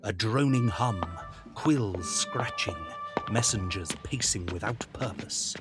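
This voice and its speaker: Male storyteller with soft music behind. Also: lowish voice, slow pace. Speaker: narrator. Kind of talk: scripted literary storytelling narration.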